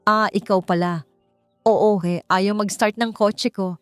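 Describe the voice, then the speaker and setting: A woman voicing a sweet, determined young lady with a gentle, friendly manner in an audio love story. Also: mid-range voice, fairly even intonation, a little slow, smooth, soft background music, natural voice. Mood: relieved.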